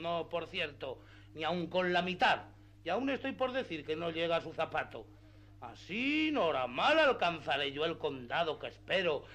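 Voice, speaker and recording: A man's voice: a little high, fairly bright tone. An actor playing a simple, greedy peasant squire in a comic radio drama, exclamatory and rustic in his protests.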